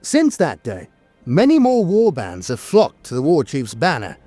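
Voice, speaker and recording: High-pitched male storyteller, lively and dramatic. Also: unhurried, dry recording. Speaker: lore narrator. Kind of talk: dramatic scripted narration of fantasy war lore. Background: music.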